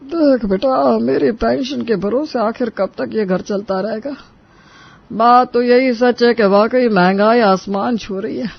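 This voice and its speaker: A man acts out a stubborn older father-in-law in a family cartoon tale. He is high-voiced and emphatic with a folksy turn of phrase, on a slightly dull track. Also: unhurried, vocal fry, slightly rough, full character voice. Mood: firm. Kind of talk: Hindi moral cartoon story acting. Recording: dull, band-limited track.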